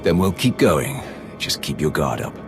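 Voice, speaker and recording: Stern imperial soldier, very deep and gravelly, curt, loud game music behind. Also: male voice, big pitch swings, moderate pace, exaggerated voice. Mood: wary. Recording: game soundtrack under voice.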